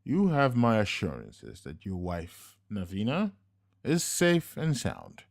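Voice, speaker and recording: A man's voice: on the low side, wildly swooping pitch, gravelly, heavily acted. A man voicing a suave, menacing henchman. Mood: smooth. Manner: slow, measured, courteous and smug. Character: suave, menacing male henchman, coolly polite.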